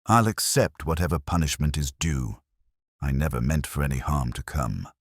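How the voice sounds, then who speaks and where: Man reading as frightened churchman, very deep and husky. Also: no echo, clean studio sound. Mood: desperate, resigned. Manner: pleading, earnest, halting. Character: frightened, guilt-ridden parish churchman confessing. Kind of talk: dramatised audiobook dialogue.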